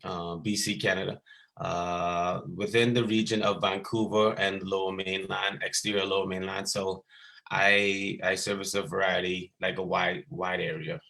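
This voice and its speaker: A man from the Bahamas in his thirties, a basketball skills coach, talking casually and haltingly over a video call with many ums and restarts. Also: low voice, level tone, gravelly, pushed and bright.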